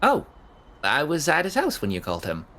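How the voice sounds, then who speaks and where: A man's voice: fairly high pitch, huge pitch swings, a little fast, bright, forceful tone, exaggerated voice. A man acting in an audio drama as an awkward, overeager young man who stammers and rambles nervously. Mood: eager, awkward. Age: young adult.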